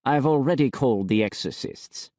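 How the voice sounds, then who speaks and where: Smug bishop, measured and gloating, dry close sound; man reading. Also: mid-range voice, expressive, a little mellow, dry recording, pitched above the performer's own voice, lightly acted. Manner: slow, gloating.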